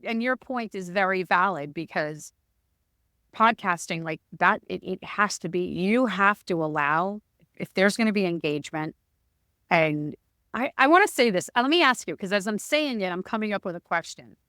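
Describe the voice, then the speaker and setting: A woman co-hosting a podcast, with a loud, booming voice and an energetic, jokey way of talking in casual chat. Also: moderate pace, dry recording, studio quality. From Arizona, USA. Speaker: podcast co-host.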